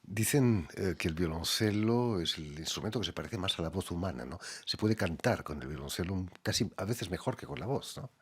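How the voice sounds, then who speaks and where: A man's voice: low voice, lively intonation, fairly quick, hardly any pauses, husky. A man hosting a radio interview with courteous, formal phrasing.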